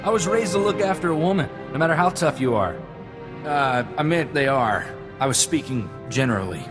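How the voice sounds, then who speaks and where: A man's voice: on the high side, animated, brisk, over music, lightly acted. An actor playing a protective, old-fashioned male sidekick with an earnest, defensive manner in a video game. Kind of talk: video game cutscene acting.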